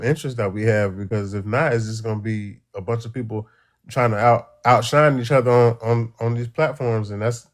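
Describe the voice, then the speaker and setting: A man with a mid-range voice gives his view on a live call. He speaks earnestly but haltingly, circling back and restarting his phrases. The tone stays casual and conversational. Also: medium pitch. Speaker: caller.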